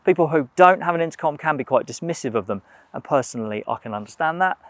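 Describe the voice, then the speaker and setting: A British man presenting a product review in scripted narration, with a measured, clearly structured delivery. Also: on the high side, expressive, a bit bright, no room sound.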